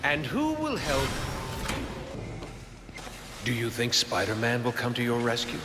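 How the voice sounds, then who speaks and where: An actor playing an arrogant, obsessed scientist villain in a video game cutscene, grandiose and menacing. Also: male voice, mid-range voice, huge pitch swings, unhurried, big-room sound, noisy background. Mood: righteous, scornful.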